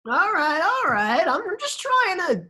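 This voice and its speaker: A woman's voice: very high voice, very animated, unhurried, sharp tone, full character voice. A woman playing a goofy, carefree, playful brother at a script reading. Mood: flustered. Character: goofy, carefree brother devoted to pet lobster. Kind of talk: script table read.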